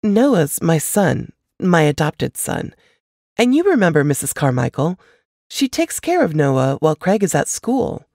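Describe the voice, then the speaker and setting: A woman voicing an anxious, warm and chatty elder sister in an audiobook, effusive and full of fussing concern. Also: deep voice, big pitch swings, measured pace, dry recording, studio quality, full character voice. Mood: chatty, flustered. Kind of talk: audiobook character dialogue.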